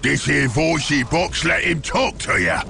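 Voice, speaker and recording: Raspy, snarling orc underling. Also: male voice, a little high, lively intonation, measured pace, bright, forceful tone, slight background noise, full character voice. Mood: bossy, shouting. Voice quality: harsh, gravelly rasp. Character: snarling pushy orc underling, servant of the warlord. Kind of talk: video game character acting.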